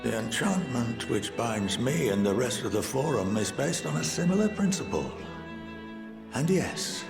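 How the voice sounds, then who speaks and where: A man playing an erudite, measured scholar and statesman in a video game, speaking formally, like a lecture, with gentle wry humour. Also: medium pitch, big pitch swings, creaky. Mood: measured, didactic. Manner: formal, eloquent, didactic. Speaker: game character, scholar and council member. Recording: game audio with echo, loud music. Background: loud music.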